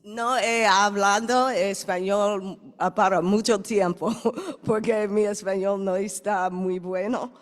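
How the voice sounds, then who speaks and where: A woman's voice: medium pitch, steady tone, slow, long reverb tail. A woman speaking as executive director to her staff, hesitant and self-deprecating about her Spanish. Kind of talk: speech to staff.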